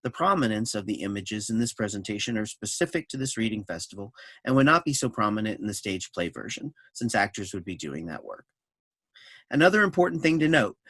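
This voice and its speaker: A man reading scripted introductory notes and stage directions as a narrator, in a measured, explanatory way. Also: mid-range voice, fairly bright tone, no echo.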